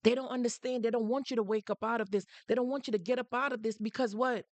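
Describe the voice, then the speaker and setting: A woman's voice: fairly high pitch, fairly even intonation, quick pace, no room sound. A woman with a Jamaican accent hosting a casual livestream and speaking directly to her viewers. She is emphatic, repeats her points, and breaks off to greet people who join. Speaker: livestream host.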